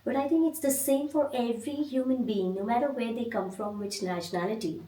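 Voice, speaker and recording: A woman from India hosting an interview, asking measured, reflective questions. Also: fairly high pitch, warm tone. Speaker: podcast host. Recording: echoey room, mic at a distance.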